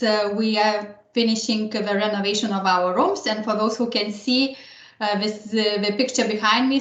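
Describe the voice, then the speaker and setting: A woman introducing her hotel in an online meeting, speaking softly and hesitantly. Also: medium pitch. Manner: slow, soft, hesitant. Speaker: hotel representative. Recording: roomy, dull video-call audio.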